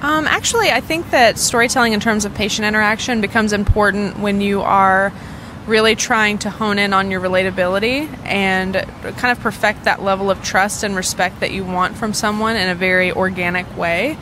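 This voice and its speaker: A woman's voice: medium pitch, steady tone, moderate pace. A woman physical therapist in an outdoor interview, thoughtful and searching as she explains her ideas. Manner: thoughtful, searching, with fillers and restarts. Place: outdoors in a city, kids playing nearby. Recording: street noise behind.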